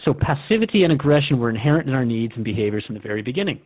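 A man's voice: mid-range voice, sing-song, rapid-fire, no echo. Male radio host, thin phone-like sound. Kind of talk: scripted conversational interview in a radio drama.

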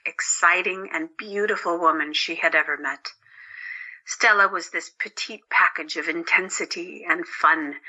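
A woman reads scripted story narration. Her voice sits somewhat low for a woman and has a very bright, forceful tone. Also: rough recording.

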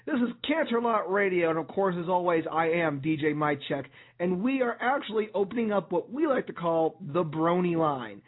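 A man hosting an internet radio show as DJ, hoarse and raspy, talking in an upbeat promotional way with some fillers. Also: high voice. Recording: tinny phone-like line.

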